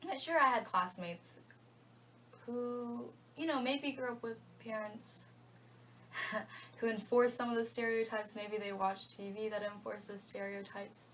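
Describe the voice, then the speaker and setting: A woman's voice: a little high, a little slow, fairly bright tone, distant mic, slight background noise, rough recording. Young woman answering thoughtfully. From United States (Georgia). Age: young adult. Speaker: interviewee, college freshman. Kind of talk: casual interview answers.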